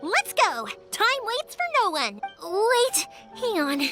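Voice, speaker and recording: A woman voicing a kind, energetic young fireworks maker with a breezy, reassuring manner in a video game. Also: extremely high pitch, slow, music in the background, full character voice. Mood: resolved, brisk.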